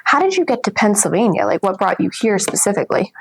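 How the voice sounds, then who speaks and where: A young woman hosting an interview podcast as a physical therapist, friendly and affirming, with frequent quick interjections. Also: mid-range voice, very animated, breathy edge, no echo, low-quality recording.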